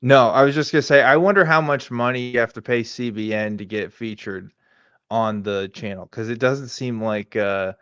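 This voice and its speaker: A man's voice: mid-range voice, normal speed, a bit bright, clean tone, no echo, dull audio. A man hosting a live show, chatting casually and joking in a sarcastic manner. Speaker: host of a live weekly show. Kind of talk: casual, joking commentary chat.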